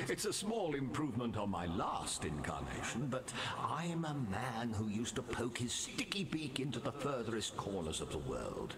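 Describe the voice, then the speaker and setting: An actor playing a roguish, courtly undead revenant seafarer in a video game, with flowery, grandiloquent dramatic delivery. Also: male voice, medium pitch, huge pitch swings, measured pace, crowd noise. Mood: grandly earnest. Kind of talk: theatrical video game character dialogue.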